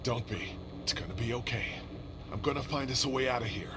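An actor playing a protective, weary survivor in a zombie video game, earnest and reassuring. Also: male voice, mid-range voice, fairly quick, noisy background, natural voice. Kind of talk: dramatic video game voice acting.

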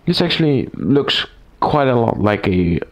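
A man with a raspy voice, recovering from a cold, casually reviewing a typewriter at his desk, rambling with fillers and restarts. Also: medium pitch, very animated, unhurried, a little hiss or hum. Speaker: typewriter collector reviewing a machine. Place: small room at a desk, indoors. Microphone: camera mic, speaker off-camera.

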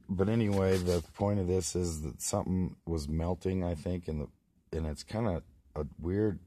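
A hoarse man, about forty, rambling in a casual vlog, filmed on a handheld phone inside a small greenhouse. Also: a bass voice, dark tone, a little hiss or hum. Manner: rambling, many restarts and fillers. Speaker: vlogger. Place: small plastic greenhouse, indoors. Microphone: phone camera held close.

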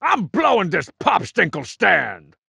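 A man voicing a cocky, juvenile, short-tempered masked cartoon villain for a video game, gravelly and raspy, with boastful, mocking, slangy delivery. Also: high voice, wildly swooping pitch, a little slow, sharp tone, full character voice. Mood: outraged. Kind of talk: video game character barks.

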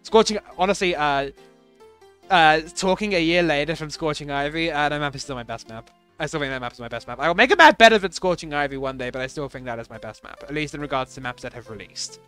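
Bright-voiced rambling young man. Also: on the high side, animated, fast, clear, resonant tone, faint music behind. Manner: rambling, self-deprecating, many fillers and restarts. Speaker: level designer commenting on his own maps. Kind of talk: casual gameplay commentary.

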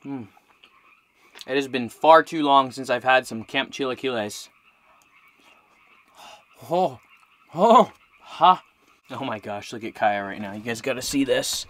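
A man in his thirties chatting casually to the camera while camping alone, relaxed and talkative. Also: medium pitch, a little slow, pauses often, no echo. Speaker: vlogger. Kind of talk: casual solo camping vlog. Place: inside a small truck camper.

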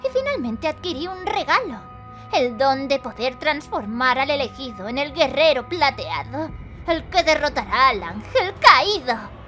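A high-voiced, dramatic cartoon mystic woman, acted by a woman, solemn and blunt. Her pitch swoops widely, and music plays behind her. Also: big pitch swings, unhurried. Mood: solemn, wistful. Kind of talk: cartoon fandub acting.

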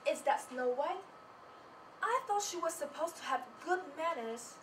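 A woman's voice: high voice, expressive, measured pace, fairly bright tone. A teenage young woman giving a scripted stage presentation as a student, with a theatrical, rehearsed delivery. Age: teens. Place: small presentation stage indoors. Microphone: far camera. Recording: noisy room, distant microphone.

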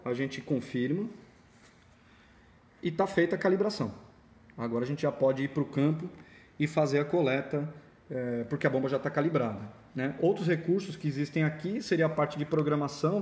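A man's voice: a little high, a little mellow. A man with a Brazilian accent gives an informal, step-by-step equipment demonstration in an explanatory way. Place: indoor office or lab.

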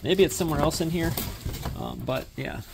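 Guy rambling on his phone cam in a storage unit, gravelly voice, lots of noise behind. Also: on the high side, very animated, normal speed. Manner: rambling, thinking aloud with many fillers and restarts. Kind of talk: casual vlog narration while sorting items. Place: indoor self-storage unit.

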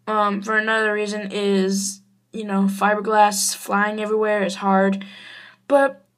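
A woman giving a casual, rambling home review in a halting, offhand manner. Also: mid-range voice, steady tone. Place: small bedroom at home, indoors. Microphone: handheld camera or phone.

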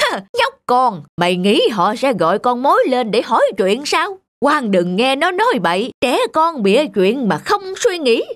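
A woman's voice: high-pitched, big pitch swings, a little slow, hardly any pauses, dry recording. A woman voicing a pompous, greedy middle-aged male village landlord who bullies and scoffs in a children's cartoon. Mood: scoffing. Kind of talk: exaggerated children's cartoon acting.

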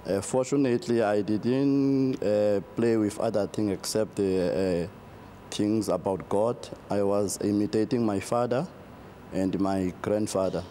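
A middle-aged South African man, a pastor, answering interview questions slowly with many fillers and restarts, recorded in a TV studio on a lapel mic. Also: fairly low pitch, fairly even intonation, dark tone, slight background noise.

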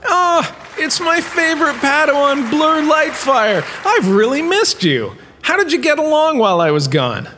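An actor playing a vain, pompous professor of galactic history, giving a showy scripted comic lecture. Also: male voice, very high voice, very animated, measured pace, hardly any pauses, pushed and bright, a little hiss or hum, heavily acted. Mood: showy, fond. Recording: long hall-like reverb.

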